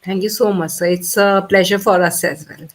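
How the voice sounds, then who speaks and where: A woman's voice: medium pitch, steady tone, moderate pace. A woman interviewing a business founder, asking questions politely and hesitantly, with many fillers.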